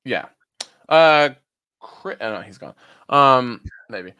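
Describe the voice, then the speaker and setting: A young man co-hosts a casual podcast, teasing and cracking jokes. His bright, sharp tone swings widely up and down as he talks, giving a jumpy, animated delivery. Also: medium pitch, slow, smooth. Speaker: podcast co-host. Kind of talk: casual chat.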